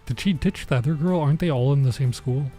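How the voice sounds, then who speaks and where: A man's voice: mid-range voice, quick pace, mellow. A man streaming a visual novel, reading the story aloud and adding informal, bemused asides. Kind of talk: let's play, reading game text aloud with casual reactions. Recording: music under the voice. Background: game music.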